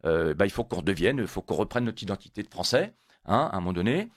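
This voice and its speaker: A man's voice: sits low, very animated, fast, no echo, studio quality. A man born in Italy, a guest on a radio interview, talking emphatically and repeating words when pressed. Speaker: guest, motorcyclists' federation coordinator.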